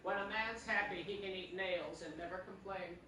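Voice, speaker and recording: An actor playing a genial, sly man who bosses his lodger, folksy and gently needling, in old-time radio comedy style. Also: high voice, normal speed, recorded from a distance, close to an everyday voice. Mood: chatty, genial. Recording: echoey theatre from afar, audience audible. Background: audience noise.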